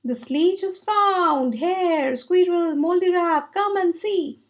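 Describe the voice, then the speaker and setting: A woman voicing a gentle, polite little female rabbit, sweetly exclaiming in a children's storybook. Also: extremely high pitch, slow pace, pitched above the performer's own voice, heavily acted. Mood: joyful calling.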